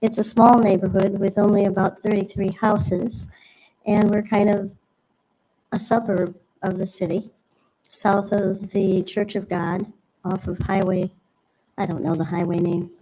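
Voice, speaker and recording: A woman's voice: mid-range voice, flat delivery, measured pace, mellow, a little hiss or hum, rough recording. A woman making a public comment at a city meeting, polite and hesitant, rambling with fillers. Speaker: resident speaking at a public hearing.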